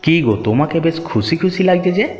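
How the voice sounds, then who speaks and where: A man's voice: on the high side, animated, quick pace, a little mellow, long reverb tail, muffled recording, faint music behind, close to an everyday voice. A man voicing a mild, cautious village husband in a Bengali cartoon. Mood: cheerful. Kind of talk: Bengali moral-story cartoon dubbing.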